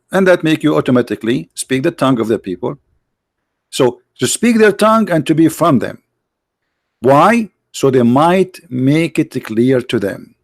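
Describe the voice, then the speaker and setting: A man's voice: mid-range voice, vocal fry, dry recording, clean studio sound. A man whose first language is Arabic, hosting a live stream and debating a caller in a pushy, interrupting way, repeating his questions.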